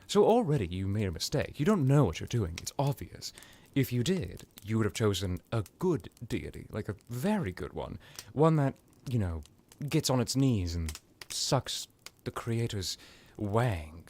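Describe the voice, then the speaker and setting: A man playing a smug, powerful vampire lord in an ASMR roleplay, talking in a halting, boastful, condescending and mock-polite way. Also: on the low side, darkish tone, exaggerated voice. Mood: lecturing, smug.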